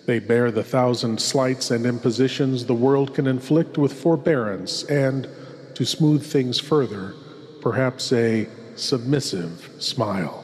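In a long, echoing hall, a ghoulish lord played by a man presents old-time radio horror. He speaks very slowly and theatrically, courtly and sinister, with ornate, deliberate phrasing. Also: mid-range voice, darkish tone, natural voice. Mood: grave, ominous. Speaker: horror show host.

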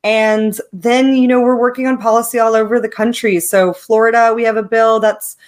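Female radio guest, flat even delivery, measured legal explainer. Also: on the high side. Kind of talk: radio interview.

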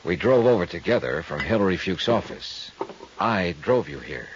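A male actor with an American accent playing a cool, steady insurance investigator in a 1950s radio detective drama. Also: deep voice, moderate pace, a little hiss or hum. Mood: patient, probing. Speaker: lead actor and narrator of a radio detective serial. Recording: muffled 1950s radio recording.

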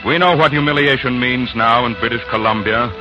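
An actor playing a grave, bitter narrator of an occupied homeland in a 1940s radio drama, speaking in a deliberate, rhetorical way. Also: male voice, mid-range voice, lightly acted, low-quality recording. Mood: solemn, bitter. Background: music.

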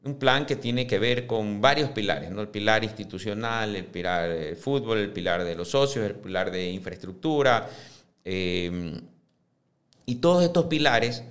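Fifties man, measured and repetitive. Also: on the low side, fairly bright tone. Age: 50s. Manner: measured, repeats words and restarts while building points. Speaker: club presidential candidate. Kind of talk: podcast interview answers.

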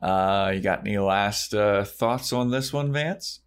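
Male podcast host, low-pitched voice, informal conversational delivery. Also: unhurried, smooth. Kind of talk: casual chat about a TV show.

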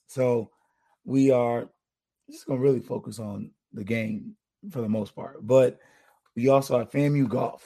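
A man hosts a casual sports podcast with a hoarse voice. His tone is mellow, with a gravelly rasp. He talks in a relaxed, chatty way and gives out shout-outs. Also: medium pitch. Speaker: podcast host.

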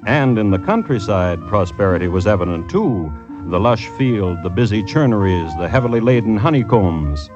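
Deep-voiced droll male radio narrator. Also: moderate pace, music in the background. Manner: droll, deadpan irony. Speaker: host and narrator. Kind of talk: scripted 1950s radio crime narration. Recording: dull old radio recording.

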